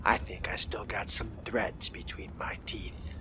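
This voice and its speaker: A man's voice: lowish voice, flat delivery, unhurried, in a whisper, close to an everyday voice. A man voicing a reluctant, sardonic young man newly turned vampire in a game role-play, with a dry, understated delivery. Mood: dry, disgusted. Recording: clear background ambient noise.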